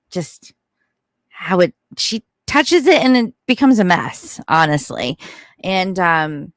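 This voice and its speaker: A woman's voice: mid-range voice, a bit bright, dry recording, clean studio sound. A woman giving casual, opinionated true crime commentary in a blunt, informal way.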